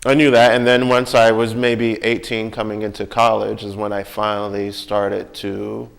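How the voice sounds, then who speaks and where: An American man with a fairly low voice and a gravelly creak. He talks in a casual, reflective way, thinking through personal experience and often tagging his points with little checks for agreement. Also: monotone, normal speed. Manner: conversational, often seeking agreement with tag questions.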